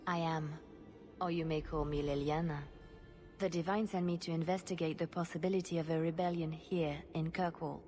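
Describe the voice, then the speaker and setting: A woman playing a composed, grave secret agent in a fantasy video game, speaking in a measured, deliberate way. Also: sits low, fairly even intonation, a little mellow, faint music behind, lightly acted.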